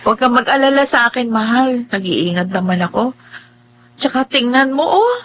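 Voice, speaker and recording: An actress playing a gentle, devoted blind young wife in a radio drama, speaking tenderly and pleadingly. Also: female voice, on the high side, animated, moderate pace, poor audio. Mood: eager, tender. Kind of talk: radio drama acting with first-person narration.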